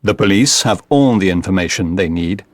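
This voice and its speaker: A man acts out a brilliant English detective in an audiobook, reading a farewell letter. His voice is low and solemn, composed and deliberate. The recording is close and dry. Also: expressive, no room sound. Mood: composed resolve.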